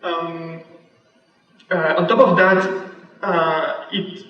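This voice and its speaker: A man's voice: a little high, steady tone. Spanish-accented man lecturing in an echoey hall. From Spain. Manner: halting, careful delivery with frequent fillers. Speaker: conference speaker. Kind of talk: technical presentation. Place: large dark auditorium stage. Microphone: far camera. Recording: echoey hall from far off, dull lo-fi.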